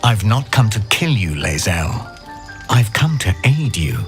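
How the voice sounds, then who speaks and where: An actor playing a solemn githyanki knight and secret rebel in a fantasy game, speaking in a deliberate, formal way. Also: male voice, fairly low pitch, sing-song, faint music behind. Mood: measured, placating. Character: grave githyanki knight, a secret rebel against his queen. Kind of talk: dramatic fantasy game acting.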